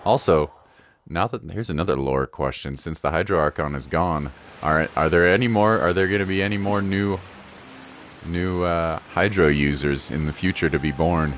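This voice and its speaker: A man streaming a game, giving casual, rambling commentary and thinking out loud in a chilled way. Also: sits low, normal speed, slight background noise. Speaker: gaming streamer. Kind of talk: casual live game commentary, musing aloud. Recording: game music behind the voice.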